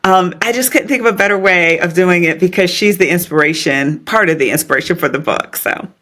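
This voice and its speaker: A middle-aged woman talks as a podcast guest, her voice sitting low for a woman. She chats in an excited, friendly way, with plenty of fillers and little laughs. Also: brisk. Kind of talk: casual interview chat.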